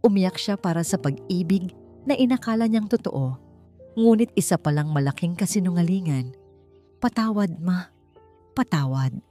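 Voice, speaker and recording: Low-voiced woman narrating a dramatic story. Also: darkish tone. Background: music.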